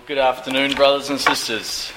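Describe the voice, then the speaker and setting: A hoarse man preaching a Bible study in short phrases, pausing often so an interpreter can follow. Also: mid-range voice, flat delivery, music in the background. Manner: pauses often for translation, earnest. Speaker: pastor. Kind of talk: sermon with an interpreter. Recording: echoey room.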